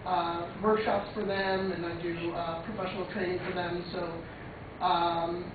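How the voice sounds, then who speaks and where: A woman in her twenties presents informally to a group in a loose, conversational manner. She is heard from far off in a very reverberant room. The sound is thin and lo-fi, with clear noise around her. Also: lowish voice, level tone, unhurried. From Long Island, United States. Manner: rambling, conversational, uses "like" often. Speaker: student presenter, creative trainer. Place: echoey room, recorded from far off. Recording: muffled lo-fi, echoey room, distant mic, noisy.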